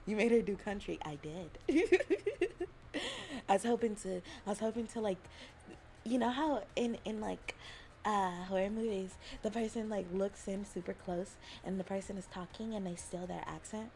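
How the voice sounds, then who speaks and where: A woman's voice: mid-range voice, animated, normal speed, darkish tone, slight background noise. A young adult woman from Alabama chatting casually on a livestream, giggling often and rambling between thoughts. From Alabama, US South. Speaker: streamer.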